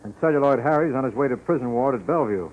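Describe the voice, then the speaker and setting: A man as a fast-talking radio police lieutenant. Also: mid-range voice, lively intonation, lightly acted. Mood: brisk, matter-of-fact. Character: brisk, broad-shouldered male police lieutenant, slangy. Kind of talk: 1950s radio drama acting. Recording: tinny, faintly noisy old radio recording.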